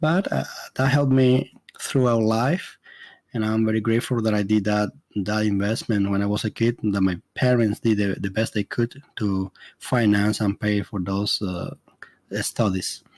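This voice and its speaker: A man's voice: on the low side, steady tone, unhurried, a little mellow, dry recording. A middle-aged man from the Dominican Republic with a Spanish accent, hosting a podcast as an immigration consultant and speaking earnestly, with frequent fillers and restarts. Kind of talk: solo podcast monologue.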